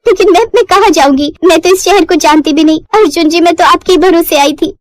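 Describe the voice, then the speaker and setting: Woman voicing a high-pitched wronged village wife. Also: fairly quick, no room sound, studio quality. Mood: tearful, helpless. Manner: rustic village speech, plaintive. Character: young village wife, wronged, pleading yet defiant.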